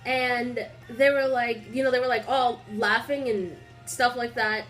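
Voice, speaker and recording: Young woman vlogger, congested, chatty and rambling, a touch high-pitched. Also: measured pace, soft background music. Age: young adult. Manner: rambling, filler-heavy, animated storytelling. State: stuffy nose. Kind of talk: casual chatty vlog. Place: small indoor room.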